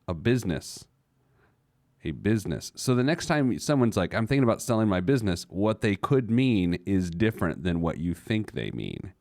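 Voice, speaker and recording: Deep-voiced American man, dry close recording. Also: darkish tone, no room sound. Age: late 30s. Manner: rambling, wry, conversational. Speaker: radio show host. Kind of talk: casual radio monologue on personal finance.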